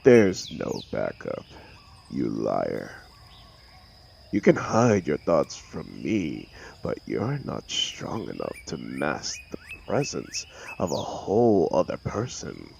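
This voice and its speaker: A man playing an arrogant, mocking telepathic supervillain in an audio roleplay, with a gravelly voice and a sneering, sarcastic, theatrical manner. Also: rumbling low, wildly swooping pitch, slow pace, darkish tone, a little hiss or hum, heavily acted. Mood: scornful. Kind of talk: dramatic audio roleplay monologue.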